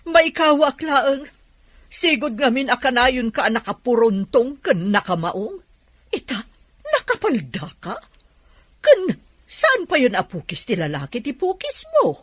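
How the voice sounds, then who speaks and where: An actress playing a loud, teasing factory boss in a radio comedy-drama. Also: female voice, high voice, big pitch swings, a little slow, no echo, full character voice. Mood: loud, amazed.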